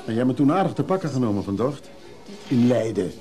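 An actor playing a gruff, guarded and resentful male antique dealer in a radio drama, curt and defensive. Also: medium pitch, expressive, quick pace, mellow, soft background music, close to an everyday voice. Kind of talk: radio drama acting, dialogue.

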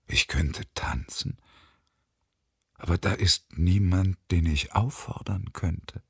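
An actor playing a bitter, desolate unemployed engineer around fifty in a radio play, with a husky, brooding delivery. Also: male voice, a bass voice, dry recording, clean studio sound, exaggerated voice. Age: middle-aged. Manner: brooding, often whispery delivery. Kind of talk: German radio play acting, monologue.

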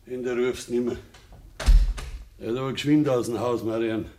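Guy acting a gruff bavarian farmer son, radio play, medium pitch, bitter, sarcastic, short fuse. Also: steady tone, moderate pace, darkish tone, slight background noise, lightly acted. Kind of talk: German radio drama acting.

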